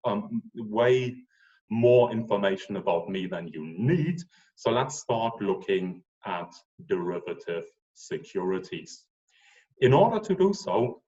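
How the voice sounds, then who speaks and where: Male professor, 40s, German accent, lowish animated voice, chatty online lecture, muffled lo-fi call audio. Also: recorded from a distance. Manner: relaxed, chatty, with frequent ums.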